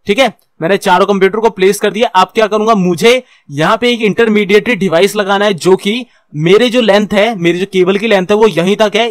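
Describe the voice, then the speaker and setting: A man's voice: high voice, no room sound. A man with an Indian accent giving an informal tutorial as a course instructor, explaining step by step, checking in often and mixing in English technical terms. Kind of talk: informal screen-share tutorial.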